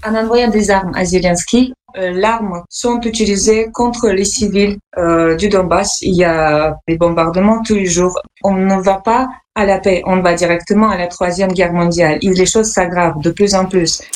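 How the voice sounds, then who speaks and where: Lower-pitched woman from Russia. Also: fairly even intonation, normal speed, darkish tone. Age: around 40. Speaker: activist. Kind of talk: spoken political statement.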